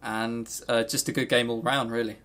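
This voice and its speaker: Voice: medium pitch, little pitch movement, moderate pace, fairly bright tone. A podcast co-host chatting casually in a jokey, sarcastic and rambling way, prone to going off on tangents. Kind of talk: casual, tangent-prone banter about visual novels.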